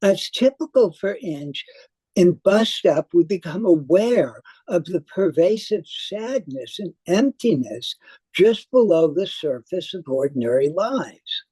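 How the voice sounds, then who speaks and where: Woman theater critic reading her review, real slow and careful, kinda husky mellow voice. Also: fairly low pitch, animated, dry recording, low-quality recording. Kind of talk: scripted review read aloud.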